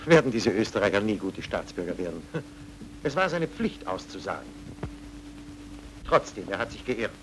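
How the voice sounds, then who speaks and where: An actor playing a smooth, evasive man feigning concern, theatrical and questioning, in a dubbed film noir. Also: lowish voice, big pitch swings, moderate pace, long pauses, noisy background. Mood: evasive, probing.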